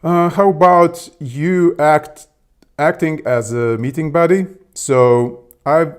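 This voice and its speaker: A man's voice: a little high, steady tone, slow pace, clean tone. A man with a Serbian accent whose first language is Serbian, talking casually and hesitantly with many fillers. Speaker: video host testing an AI assistant. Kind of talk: casual chat.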